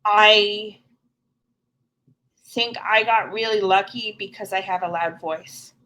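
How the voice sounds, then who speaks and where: Woman guest, middle-aged, frank and earnest, unhurried delivery. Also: medium pitch, sharp tone, low-quality recording. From Canada. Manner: earnest, frank and plain-spoken. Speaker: podcast guest. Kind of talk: candid conversational interview.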